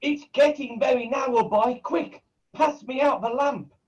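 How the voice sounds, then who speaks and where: A man's voice: very high voice, a little slow, dull audio. A man playing a scheming, bullying sorcerer in a pantomime, commanding and melodramatic. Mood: urgent, demanding. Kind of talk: pantomime villain acting.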